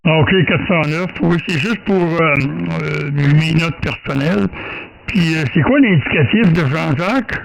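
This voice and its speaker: A man's voice: on the high side, measured pace, gravelly, background noise. A man with a Quebec French accent chatting casually as an amateur radio operator, feeling for his words. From Quebec, Canada. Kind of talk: casual radio contact chat.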